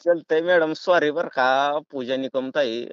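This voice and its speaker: A man's voice: on the high side, unhurried, fairly bright tone, smooth. A man with a rural Maharashtrian accent talking in a pushy, folksy, argumentative way on a prank phone call. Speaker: prank caller. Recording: dull, lo-fi phone call recording.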